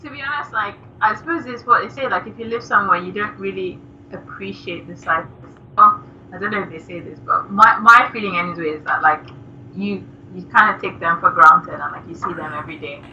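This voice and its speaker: Bright-voiced young woman on a lo-fi call. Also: medium pitch, a little fast. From Nigeria. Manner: soft-spoken, giggly, with many fillers. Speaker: musician guest. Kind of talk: casual interview chat. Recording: distant, dull lo-fi remote call with faint noise.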